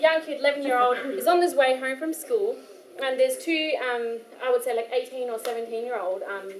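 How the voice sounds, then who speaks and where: A young Australian woman with an Australian accent giving an informal workshop talk to a live audience, chatty and animated, full of asides and fillers. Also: high-pitched. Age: young adult. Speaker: workshop speaker. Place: community hall. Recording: echoey hall with faint noise.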